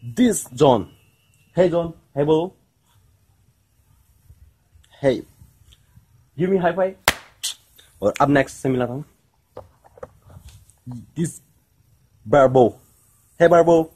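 A man's voice: a little high, extremely slow, pauses often, darkish tone. Playful young man vlogging to camera. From India. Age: young adult. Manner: playful, jumps between Hindi and broken English. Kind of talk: casual vlog to camera. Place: small home room and village outdoors. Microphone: handheld camera at arm's length.

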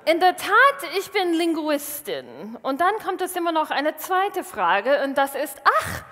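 A woman in her thirties from Wisconsin, a native English speaker with an American accent, giving a lively, self-mocking stage talk in a large hall. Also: high-pitched, a little slow. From Wisconsin, USA. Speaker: science slam speaker. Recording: reverberant hall.

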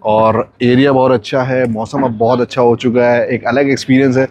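Young male travel vlogger, relaxed chatty delivery, phone-recorded outdoors on a boat. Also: mid-range voice, fairly quick, a little hiss or hum. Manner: chatty, mixes English phrases, repeats "जो है ना". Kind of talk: casual walkthrough vlog. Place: outdoors on a cruise boat deck.

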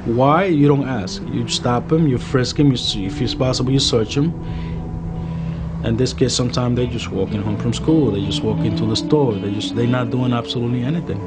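A man from the Dominican Republic, a police officer in his thirties, giving earnest, measured testimony in a documentary interview and repeating phrases for emphasis. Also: medium pitch. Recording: music bed playing behind voice. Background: guitar music.

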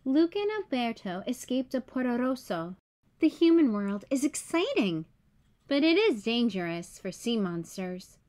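High-pitched woman reading a children's storybook. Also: very animated, unhurried, darkish tone. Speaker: read-aloud storyteller. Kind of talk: children's picture book read-aloud.

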